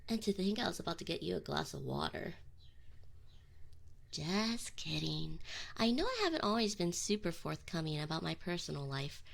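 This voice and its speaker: A woman's voice: fairly low pitch, creaky, heavily acted. A woman voicing a teasing, flustered but affectionate succubus in an intimate ASMR roleplay, close to the microphone with whispered asides. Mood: playful, teasing. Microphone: close binaural microphone.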